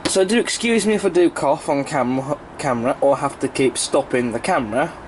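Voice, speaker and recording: A British man with a croaky, congested voice from a cold and sore throat, giving a casual, rambling, explanatory review to camera as a hobby video reviewer. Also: fairly high pitch, moderate pace, a little hiss or hum. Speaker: model railway video reviewer.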